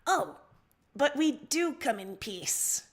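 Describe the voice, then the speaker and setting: A woman voicing a young male alien emperor, blunt and awkward, making halting, earnest speeches in a story read aloud. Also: high-pitched, big pitch swings, full character voice. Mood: earnest, hopeful.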